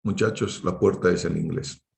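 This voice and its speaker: Deep-voiced man lecturing, creaky. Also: fairly even intonation, moderate pace, darkish tone. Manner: didactic, often ending statements with tag questions. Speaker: university engineering lecturer. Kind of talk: informal lecture to students. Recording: roomy, echoing online class audio.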